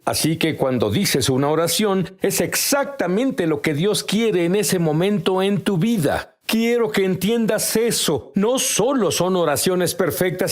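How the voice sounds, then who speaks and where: Older male TV preacher, higher-pitched voice, slow, deliberate and emphatic. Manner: emphatic, rising to sudden loud peaks. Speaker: television preacher. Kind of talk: preaching monologue.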